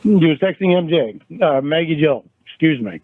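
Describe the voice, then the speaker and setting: An accusing man repeats his questions over and over, tripping on his words as he presses his point. His voice is fairly high for a man and comes through a thin, tinny phone line. The sound is dry, with no room around it. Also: lively intonation, normal speed, no echo. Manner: repetitive, accusing, stumbling. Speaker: caller in a recorded phone argument. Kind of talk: heated phone argument.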